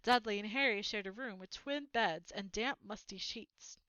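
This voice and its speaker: A woman reading a children's book aloud as an expressive storyteller. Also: mid-range voice, moderate pace, clean tone, no echo, lo-fi sound.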